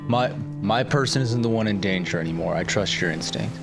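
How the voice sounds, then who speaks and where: A man's voice: on the low side, brisk. A man speaking casually as a player and roleplaying in a tabletop game. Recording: music clearly behind the voice. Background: plucked guitar music.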